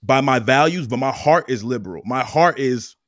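A man's voice: medium pitch, expressive, normal speed, no room sound, studio quality. A man in a casual podcast discussion, making his point steadily and with emphasis. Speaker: podcast guest.

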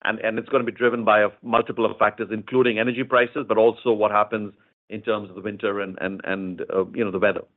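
A man speaking as a company executive, giving measured, explanatory answers in a semi-formal earnings call style, often repeating phrases. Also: lowish voice, level tone. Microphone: conference call line. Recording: narrow tinny phone-line sound.

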